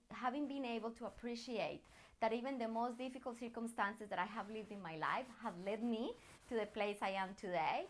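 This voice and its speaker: A woman with a fairly high voice and a Colombian accent, a native Spanish speaker. She talks as a personal branding coach, addressing the listener directly. Her delivery is encouraging and earnest, in the manner of a motivational lesson. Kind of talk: motivational coaching talk to viewers.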